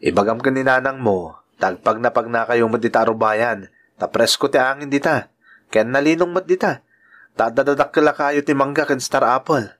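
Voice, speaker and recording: A man's voice: medium pitch, normal speed, fairly bright tone, lightly acted. A man with an Ilocano accent playing a good-natured bachelor uncle and devoted son in a radio-style drama. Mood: easygoing, fond.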